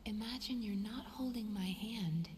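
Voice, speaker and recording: An actress playing a gentle, playful dream healer in a video game, soothing and affectionate, with a soft, breathy, near-whispered delivery. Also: female voice, mid-range voice, natural voice. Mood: hypnotic, hushed. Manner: coaxing, affectionate, unhurried. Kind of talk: soft, guided-relaxation game voice acting.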